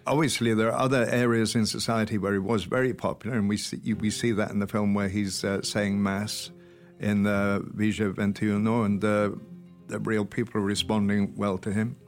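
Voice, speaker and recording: A man with a Welsh accent speaks in a fairly low voice, relaxed and wry, as he answers interview questions. He tells his stories at an unhurried pace. Faint music sits in the background. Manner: easygoing, wry storyteller. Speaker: actor guest.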